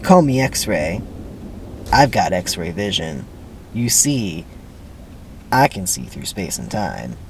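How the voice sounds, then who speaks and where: Know-it-all fifteen-year-old camper boy put on by a script reader, slangy, lively intonation, faint noise. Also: deep voice, slow, creaky, pauses often, voice pushed down, heavily acted. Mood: cocky, knowing. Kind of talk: dramatic script reading.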